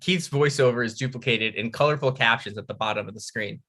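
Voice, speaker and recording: A man's voice: mid-range voice, fast, bright, forceful tone. A man narrating a script's stage directions at a table read.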